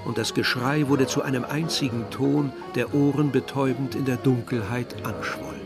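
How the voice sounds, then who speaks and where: An actor as a reflective narrator, giving measured literary narration in a radio drama. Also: male voice, medium pitch, steady tone, lightly acted. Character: reflective male narrator recalling village and brother. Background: music.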